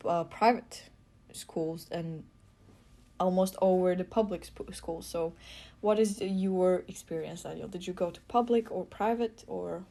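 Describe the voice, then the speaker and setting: Woman, young adult, from Latvia, podcast co-host, chatty, laughs a lot, warm mellow voice. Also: fairly low pitch, a little slow, gravelly. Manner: chatty, laughs often, lively asides. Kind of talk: casual chat.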